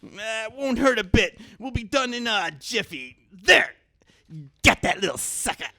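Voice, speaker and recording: A creaky, high-voiced old quack dentist. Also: male voice, expressive, unhurried, bright, forceful tone, heavily acted. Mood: indignant, gleeful. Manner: muttering, hesitant, repeating himself. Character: bumbling old quack dentist, absent-minded and shameless. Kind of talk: comic radio-play acting.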